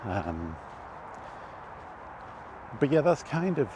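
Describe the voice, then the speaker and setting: A British man giving rambling, casual commentary while walking outdoors, halting and thinking aloud as he goes. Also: mid-range voice, big pitch swings. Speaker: video host. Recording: outdoor ambient noise throughout.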